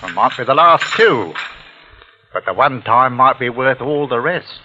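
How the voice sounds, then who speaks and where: Radio-play villain: working-class husband and crook, insinuating, very animated pitch, muffled vintage recording. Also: male voice, a little slow, breathy edge, heavily acted. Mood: sly, coaxing. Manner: sly, coaxing, insinuating. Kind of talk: old-time radio drama acting.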